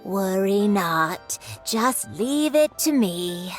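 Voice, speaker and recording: An elderly, weary granny trader from a video game. She speaks extremely slowly, grudging but kindly, at a middling pitch. Faint music plays behind her. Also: lively intonation. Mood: reassuring. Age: older. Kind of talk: animated video game dialogue.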